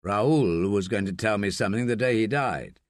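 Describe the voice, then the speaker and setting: A man voicing a persistent foreign investigator who presses with calm, probing questions. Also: fairly low pitch, normal speed, no room sound, clean studio sound, pitched below the performer's own voice, natural voice. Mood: cool, insistent.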